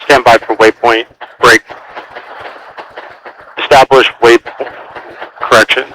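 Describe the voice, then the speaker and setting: A man's voice: fairly low pitch, sharp tone. Guy doing military controller radio calls, short clipped procedural phrases, clicky static all over it. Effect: radio transmission. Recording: noisy military air-band radio.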